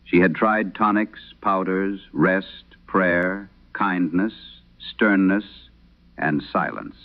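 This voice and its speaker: Deep-voiced old priest played by an actor, grave and haunted. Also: male voice, phone-quality sound. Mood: haunted, solemn. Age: older. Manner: solemn, measured storytelling. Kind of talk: dramatic scripted horror radio monologue.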